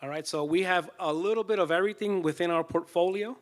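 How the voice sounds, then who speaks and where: A man's voice: high-pitched, fast talker. A man from Puerto Rico speaks informally as a panelist, explaining things and often checking in with the listeners. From Puerto Rico, born in New York City. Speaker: panelist, small business loan adviser. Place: conference room. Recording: echoey hall with long reverb.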